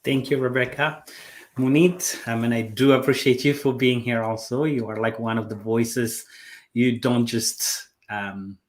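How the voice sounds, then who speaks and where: A man's voice: medium pitch, steady tone. A man hosting a live online talk show, introducing his guests with thanks. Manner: appreciative, quick bursts of words. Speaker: show host.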